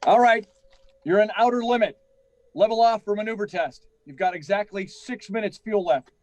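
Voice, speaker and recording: A man's voice: high voice, moderate pace, a little mellow, dry recording, lightly acted. A man playing an anxious, commanding flight controller with an urgent manner, in radio drama style. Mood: businesslike.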